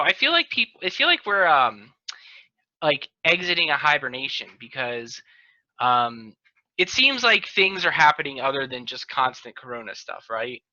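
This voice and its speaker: Man, podcast host, very bright forceful voice, joking casual banter, teasing, lo-fi video call sound. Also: fairly high pitch, sing-song, normal speed. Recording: dull lo-fi video call audio.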